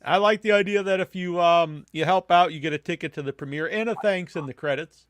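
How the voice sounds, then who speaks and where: Podcast host guy, pretty high voice, close dry sound, chatty. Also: a little fast, fairly bright tone, clear, resonant tone, no echo. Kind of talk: casual interview.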